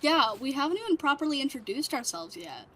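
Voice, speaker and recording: A woman's voice: sits high, sing-song, brisk, bright, forceful tone, a little hiss or hum, full character voice. A woman voicing a bubbly, playful young graffiti artist in an audio drama, eager and chatty.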